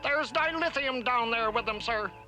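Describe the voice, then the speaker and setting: An actor playing a composed, precise starship officer who reports in measured technical terms in a television cartoon. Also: male voice, very high-pitched. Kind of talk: 1970s animated television acting. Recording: old TV soundtrack with loud music.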